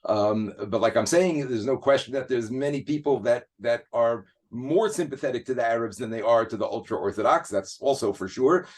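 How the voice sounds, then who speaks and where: A man's voice: mid-range voice, normal speed, hardly any pauses, a little mellow. A man speaking as an interview guest in a casual, earnest way, stopping and restarting his sentences often. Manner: hesitant, many restarts and fillers, earnest.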